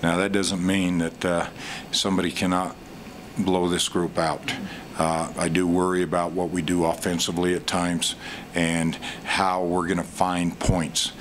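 A middle-aged man with a very low voice answers post-game questions as head coach. He speaks in a measured way with frequent pauses into a desk microphone. A faint room hum sits behind him. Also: level tone. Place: press room in front of a sponsor backdrop.

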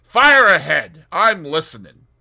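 A man's voice: high-pitched, big pitch swings, slow, gravelly, bright, forceful tone, voice pushed up, exaggerated voice. A man reading an audiobook, voicing a cheerful young man with a bantering, mock-pompous wit. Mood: teasing.

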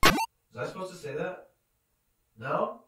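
Man acting as exasperated off-script news anchor, game cartoon, high bright voice, casual crude griping, heavy echo. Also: sing-song, measured pace. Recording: distant, very echoey, faint noise.

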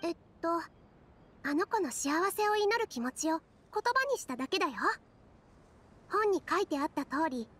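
アニメ調ゲームで、女性声優が演じる若い森の妖精。柔らかく優しい、ひたむきな話し方。. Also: female voice, very high voice, expressive, moderate pace. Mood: thoughtful. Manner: soft, gentle, earnest. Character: gentle young female forest fairy, kind and earnest. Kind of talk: anime video game voice acting.

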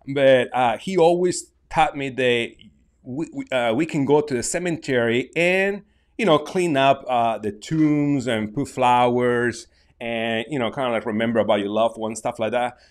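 A man in his thirties from Mexico with a Mexican Spanish accent, talking casually to camera in a small home studio, halting with many restarts. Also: medium pitch. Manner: halting, many restarts and fillers, gestures with hands. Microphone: large studio mic on desk.

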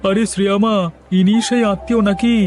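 A man's voice: sits high, little pitch movement, fairly quick, darkish tone, natural voice. A man voicing a kindly, chatty middle-aged village butcher in a cartoon, familiar and exclamatory. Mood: amazed. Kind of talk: cartoon voice acting. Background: music.

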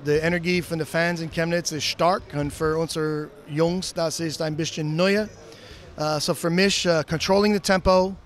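A middle-aged head coach, an English speaker giving a post-game interview in halting German that slips into English phrases, recorded on a handheld mic in an indoor arena. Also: male voice, on the high side, a bit bright. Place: indoor arena, in front of a sponsor wall.

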